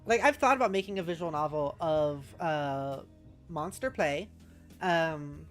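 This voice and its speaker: A woman streamer chatting casually with viewers, giggly and halting as she thinks aloud and reads out messages. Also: low voice, lively intonation. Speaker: streamer, host. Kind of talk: casual livestream chat, reading out viewer messages. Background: music.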